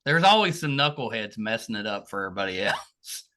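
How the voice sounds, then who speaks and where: Bright, lively-pitched man hosting a chat. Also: brisk. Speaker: podcast interviewer. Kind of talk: casual interview chat.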